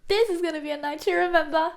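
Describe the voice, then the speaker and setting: A woman playing a giddy, pushy young party-loving friend in a horror story reading, teasing and excitable. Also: extremely high pitch, quick pace, creaky. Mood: giddy, playful. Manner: excitable, teasing, foul-mouthed.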